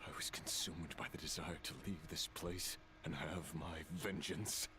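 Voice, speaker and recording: An actor playing a brooding, remorseful fallen villain in a video game, speaking slowly and solemnly with halting phrases. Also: male voice, deep voice, fairly even intonation, background noise. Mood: bitter regret. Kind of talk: dramatic video game dialogue. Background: music.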